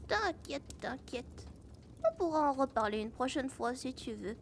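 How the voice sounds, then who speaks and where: Small magical critter: squeaky high pitch, gravelly edge, cheeky, loyal and lazy, fantasy audio drama. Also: female voice, big pitch swings, unhurried, a little hiss or hum, full character voice. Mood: gentle, reassuring.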